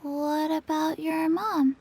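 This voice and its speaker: A woman voicing a small, placid undead girl in a video game, deadpan and speaking in short simple sentences. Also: high-pitched, slow, a little hiss or hum. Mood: placid, blank. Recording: faint music behind the voice.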